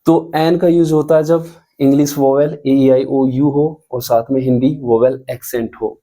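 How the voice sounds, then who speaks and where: A man teaching a grammar lesson, explaining rules slowly and spelling out examples, often asking the students questions. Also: medium pitch, flat delivery, dark tone. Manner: slow, deliberate, repeats and spells out examples.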